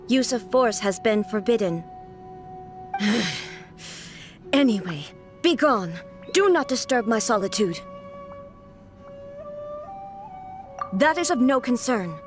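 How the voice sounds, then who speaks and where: A dutiful female puppet guardian in an anime-style game. The voice is fairly high and cold, with formal, clipped, commanding phrasing. Music sits behind it. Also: expressive, measured pace, pauses often. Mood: stern.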